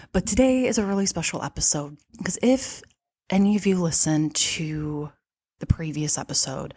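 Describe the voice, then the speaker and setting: A woman's voice: low voice, darkish tone, slightly rough, dry recording. A woman hosting a casual podcast from behind her shop counter, talking in a chatty way with frequent laughs, her nose stuffed up from allergies. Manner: chatty, laughs often between remarks. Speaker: podcast host and vintage shop owner.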